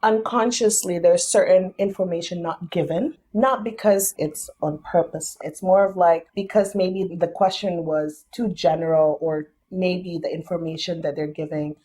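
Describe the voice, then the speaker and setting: A woman's voice: fairly low pitch, fairly even intonation, moderate pace, few pauses, mellow, breathy edge. A young adult woman and business founder, a podcast guest, explaining things step by step in a thoughtful, casual way. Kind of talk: casual interview.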